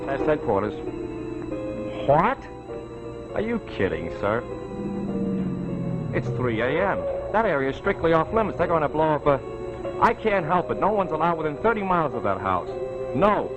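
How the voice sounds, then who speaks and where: An actor playing an incredulous but firm military officer in a 1940s suspense drama. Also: male voice, on the high side, very animated, normal speed, pauses often, rough recording. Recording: vintage broadcast under loud music.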